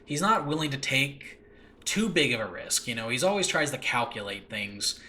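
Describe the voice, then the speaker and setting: Bright-voiced man rambling enthusiastically, faint background noise. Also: mid-range voice, sing-song, normal speed. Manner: rambling, hesitant, often searching for words. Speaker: podcast host and audio drama creator. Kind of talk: casual solo talk previewing own show. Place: indoors at home, makeshift recording space. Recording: noisy makeshift home recording.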